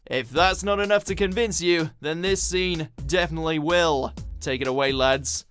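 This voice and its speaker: A man's voice: fairly high pitch, moderate pace, over music. A man reading scripted narration as the narrator of a ranked countdown video.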